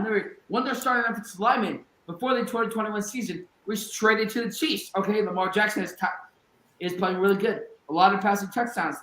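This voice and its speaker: Fired-up high-voiced sports-talk man. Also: roomy sound, low-quality recording. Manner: fired-up ranting, bursts into shouts. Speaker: podcast co-host.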